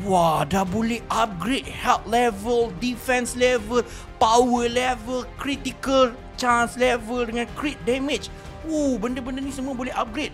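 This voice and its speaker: A young Malaysian man hosts a gaming video in a bright, punchy voice. He comments playfully and exclaims often, doubling up words as he roleplays along. Faint music plays behind him. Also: high-pitched. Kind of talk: excited roleplay game commentary.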